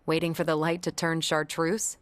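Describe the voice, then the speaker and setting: A woman's voice: sits low, little pitch movement, normal speed, slight background noise, close to an everyday voice. A woman playing a confident, teasing, breezy superhero in a video game. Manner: wry, playful banter.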